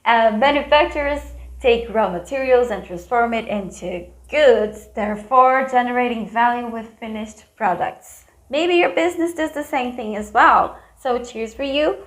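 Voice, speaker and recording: A woman's voice: fairly high pitch, moderate pace, few pauses, roomy sound. A woman from Brazil with a Brazilian accent, giving a scripted business lesson with playful, chatty asides to the viewer. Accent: Brazilian Portuguese. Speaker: business educator.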